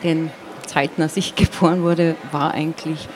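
A woman's voice: deep voice, level tone. Woman speaking thoughtfully over noise. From Carinthia, southern Austria. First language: Slovenian. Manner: halting, searching, frequent tag "ja". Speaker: poet, interview guest. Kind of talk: reflective, explanatory interview conversation. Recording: noisy, with clear ambient background noise.

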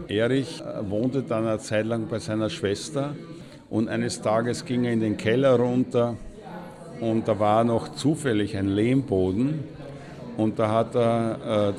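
A man's voice: mid-range voice, fairly even intonation, a little slow, crowd noise, natural voice. A man playing an acquaintance who recounts anecdotes in a casual, hesitant, conversational way for an audio drama. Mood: musing. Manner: conversational, hesitant, searching for words.

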